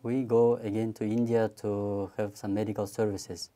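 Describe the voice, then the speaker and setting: Low, mellow Kyrgyz-accented male interviewer. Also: little pitch movement, normal speed. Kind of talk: conversational interview questions. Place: dark TV studio, indoors.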